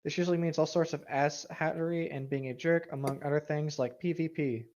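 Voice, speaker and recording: A man's voice: a little high, steady tone, brisk, a little mellow, muffled recording. A man co-hosting a gaming story show, reading aloud and commenting casually. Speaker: co-host reading and commenting on tabletop gaming stories.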